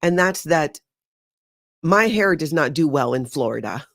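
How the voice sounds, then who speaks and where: A woman's voice: low-pitched, lively intonation, a little slow, dry recording. A middle-aged woman from Hawaii hosting a casual live stream from her home studio, bubbly and chatty, often rambling off topic. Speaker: host.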